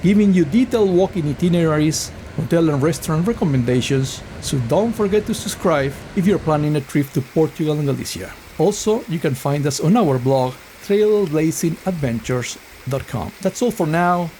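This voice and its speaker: A man with an Argentine accent giving a measured, scripted voice-over for a travel vlog. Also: a little high, lively intonation, warm tone, slight background noise, low-quality recording. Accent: Argentine Spanish. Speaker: travel vlogger. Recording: voice-over with guitar music behind. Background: guitar music.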